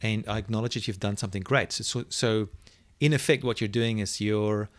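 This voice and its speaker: A middle-aged man whose first language is Afrikaans, reflectively telling a personal story as an interview guest and often pausing to search for words. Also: on the low side, steady tone, no room sound. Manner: thoughtful, searching for words, many restarts.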